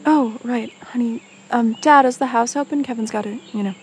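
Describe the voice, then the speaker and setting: A woman's voice: on the high side, moderate pace, darkish tone, slight background noise, natural voice. A woman playing a caring, eager-to-please grown daughter and mother in an audio drama, politely coaxing.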